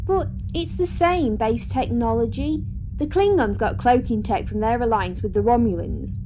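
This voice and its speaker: A woman playing a determined, practical starship engineer in an audio drama, brisk and problem-solving. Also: fairly high pitch, dark tone, slight background noise, close to an everyday voice.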